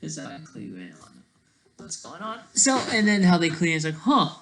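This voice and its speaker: A person thinking aloud in casual, rambling, digressive commentary as a video creator, with a creaky voice. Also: medium pitch, sing-song, moderate pace, a bit bright.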